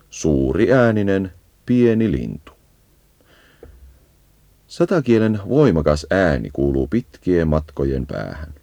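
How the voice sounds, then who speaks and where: A man reading scripted educational nature narration in a measured, explanatory way, as a guide to birds. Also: very deep voice, gravelly, dark tone, no room sound.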